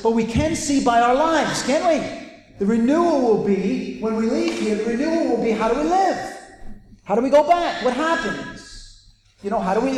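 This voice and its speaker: A man's voice: sits high, darkish tone. A man with a Welsh accent preaching a conversational sermon to a convention audience, often restarting and repeating words. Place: large hall with a live audience. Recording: echoey hall with long reverb, faint ambience.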